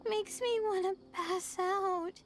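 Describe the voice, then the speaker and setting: An actress playing a gentle, studious, weary young female student in a video game, earnest and slightly anxious. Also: extremely high pitch, steady tone, slow, very noisy. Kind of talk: animated game voice acting.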